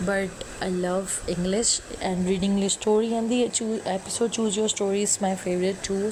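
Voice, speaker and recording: A woman whose first language is Urdu reads an interactive story aloud in English, halting and often correcting herself. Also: mid-range voice, noisy background. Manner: halting, self-correcting reading in non-native English. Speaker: YouTuber reading an interactive story.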